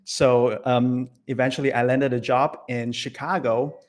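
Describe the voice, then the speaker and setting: A man's voice: medium pitch, little pitch movement, a little slow. Malaysian-born man presenting on a video call. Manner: friendly, rambling with many fillers. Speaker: guest presenter. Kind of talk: online presentation.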